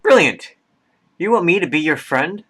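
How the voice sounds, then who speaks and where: Highish husky male voice, forgetful professor acted by a man, scatterbrained, swooping pitch, radio drama. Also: expressive, moderate pace, fairly bright tone. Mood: fascinated. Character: excitable, forgetful absent-minded male professor.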